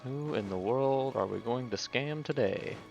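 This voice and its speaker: A woman's voice: rumbling low, unhurried, gravelly, a little mellow, dull audio. A woman giving casual live gameplay commentary as a streamer, with dry, wry asides. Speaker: game streamer. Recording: stream mic over game music.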